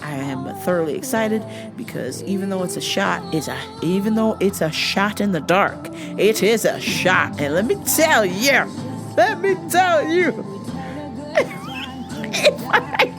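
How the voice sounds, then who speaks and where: A woman hosting a solo podcast with a hoarse, worn-out voice, talking in a brash, profane, joking way over background music. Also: medium pitch, big pitch swings, takes it slowly. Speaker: podcast host.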